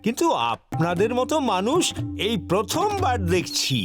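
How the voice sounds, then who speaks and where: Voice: mid-range voice, big pitch swings, normal speed, lightly acted. A performer voicing an eager, gushing onlooker in a children's cartoon dub. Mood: amazed, eager. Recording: music behind the voice.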